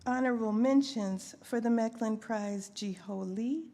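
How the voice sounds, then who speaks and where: A woman's voice: on the high side, measured pace, warm tone. A woman presenting awards at a live ceremony in an informal, chatty way, with asides to herself as she goes. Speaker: poet. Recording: faint background noise, live event microphone.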